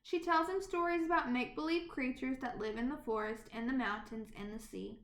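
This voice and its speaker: A woman reads a children's picture book aloud in an animated, deliberate storytelling manner. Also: high voice. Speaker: storybook reader. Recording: roomy, echoing sound.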